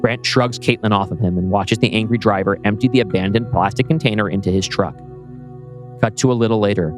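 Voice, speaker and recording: A man's voice: lowish voice, brisk. A man reading scene directions from a script as a podcast host. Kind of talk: scripted narration of a screenplay. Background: music.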